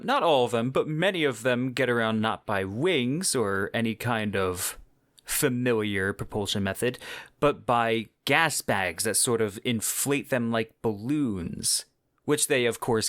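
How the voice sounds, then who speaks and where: Male podcast narrator, medium pitch, measured descriptive explainer, close mic in a dry space. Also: fairly bright tone, dry recording. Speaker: podcast host.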